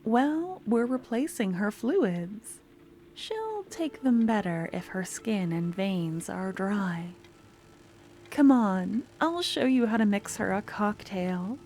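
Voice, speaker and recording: A woman playing an eager, clingy young student with a secret obsession in an ASMR roleplay, speaking softly and often slipping into breathy whispers. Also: medium pitch, lively intonation, slow pace, slight background noise. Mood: gentle, instructive. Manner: soft-spoken, often dropping to breathy whispers. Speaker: lab guide teaching embalming.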